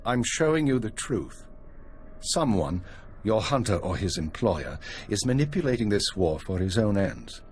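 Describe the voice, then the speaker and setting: An actor playing a calculating, unflappable intelligence minister in a video game, measured and authoritative in his delivery. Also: fairly low pitch, big pitch swings, soft background music. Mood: cool, controlled. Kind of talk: video game cutscene acting.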